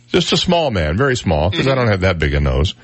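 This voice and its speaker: A man's voice: sits low, sing-song, a little fast. A man hosting a casual morning radio talk show, wry and conversational with lots of filler words, sounding a little stuffed up in the nose. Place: radio studio. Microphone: studio microphone.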